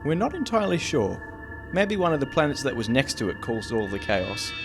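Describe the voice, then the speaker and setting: A man's voice: medium pitch, sing-song, brisk, vocal fry. A man playing a courteous research base chief scientist in a fan audio drama, formal and polite. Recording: loud music behind the voice.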